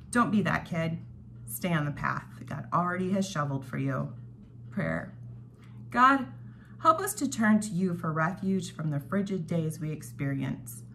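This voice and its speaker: A woman's voice: on the low side, sharp tone. A woman hosting a devotional read-along, reading prepared reflections aloud with casual asides, recorded in a dining room at home. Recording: home recording with clear background noise.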